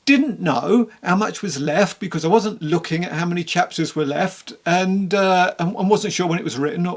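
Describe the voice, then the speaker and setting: Creaky-voiced, candid book reviewer. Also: medium pitch. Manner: candid, rambling, conversational. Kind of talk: casual spoken review to camera.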